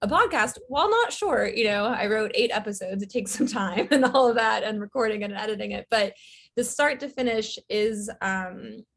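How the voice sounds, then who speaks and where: Woman chatting as a podcast guest, pauses a lot with ums, thinks out loud. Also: medium pitch, creaky, slightly rough. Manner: thoughtful, many fillers, laughs softly. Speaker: guest, writer and director. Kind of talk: casual interview chat.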